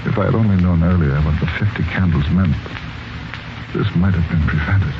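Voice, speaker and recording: Sincere rich man's son, really deep voice, noisy 1940s radio drama. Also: normal speed, muffled recording. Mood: shaken, sorrowful. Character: earnest businessman's son, loyal to his servant friend. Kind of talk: 1940s radio mystery acting.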